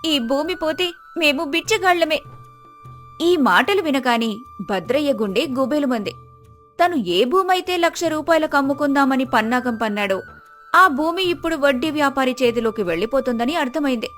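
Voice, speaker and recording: Woman storyteller, really high voice, scripted tale, music playing behind her. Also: animated, normal speed, a bit bright.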